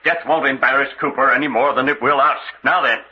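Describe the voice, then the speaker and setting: An actor playing a cool, tough adventurer who talks tersely and wryly in an old radio mystery. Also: male voice, medium pitch, fairly even intonation, quick pace, lightly acted. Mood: cool, hard. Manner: terse, wry, coolly defiant. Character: cool, tough male adventurer leading his comrades. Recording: muffled old radio recording.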